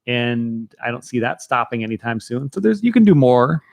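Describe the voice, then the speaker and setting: A man of middle pitch hosts a casual talk show in a dry, close-miked sound. He speaks earnestly and encouragingly, letting his sentences run on and double back. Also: very animated, moderate pace, creaky, no echo. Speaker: podcast host. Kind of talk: casual conversational interview.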